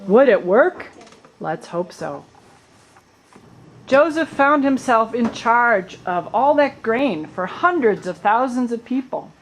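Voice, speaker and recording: A woman's voice: fairly high pitch, lively intonation, takes it slowly, slight background noise, low-quality recording. A woman narrating a puppet show, telling the story with colloquial asides.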